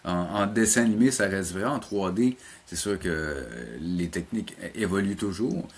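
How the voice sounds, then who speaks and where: A man's voice: sits low, moderate pace. A man with a Québécois French accent giving casual interview answers as a teacher, searching for words and often repeating them. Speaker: animation teacher being interviewed.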